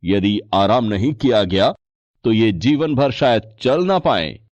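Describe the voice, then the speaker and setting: An actor playing a calm, serious doctor, formal and measured, in a narrated story. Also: male voice, lowish voice, dry recording, lo-fi sound. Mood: grave. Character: calm, serious male hospital doctor giving advice.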